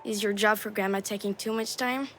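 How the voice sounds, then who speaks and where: A woman's voice: medium pitch, fairly even intonation, fairly quick, fairly bright tone, slight background noise, natural voice. An actress voicing a cheeky, affectionate young daughter in a video game, with a playful, girlish, teasing manner. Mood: teasing, encouraging. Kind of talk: naturalistic video game drama acting.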